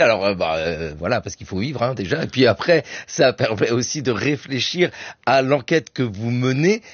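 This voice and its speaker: A man hosting a radio interview, calmly summarizing and prompting his guest. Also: medium pitch, husky, dry recording, highs cut off. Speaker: radio host.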